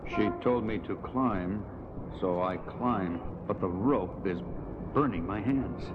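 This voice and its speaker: Stoic male superhero, low and deliberate, clipped phrasing, 1970s audio drama with a dull record sound. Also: noisy background. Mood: strained, determined. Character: stoic male superhero, society playboy in disguise.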